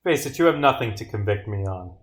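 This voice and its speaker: A man's voice: lowish voice, animated, fairly quick, echoey room, lightly acted. Visual novel murder suspect, male reader: haughty woman, defiant, dismissive, indignant delivery.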